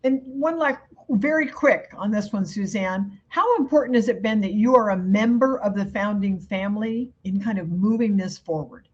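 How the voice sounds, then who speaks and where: A woman moderating a panel discussion, asking quick, informal questions with lots of "kind of" and "like". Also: mid-range voice, darkish tone, slightly rough, dull audio.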